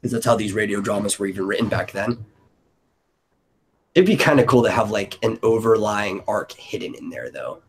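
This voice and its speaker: A man's voice: fairly low pitch, expressive, moderate pace, slight background noise. A man hosting a creative stream, chatting casually and informally as he thinks aloud. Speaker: host of an audio drama brainstorming stream. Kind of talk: casual group brainstorming chat.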